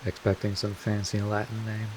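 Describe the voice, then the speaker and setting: A man playing a world-weary, gruff but kind vampire mentor in an audio roleplay, with a measured, wry delivery. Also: fairly low pitch, lightly acted. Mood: amused, teasing. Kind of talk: scripted audio roleplay monologue. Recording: steady hiss, rain-like noise.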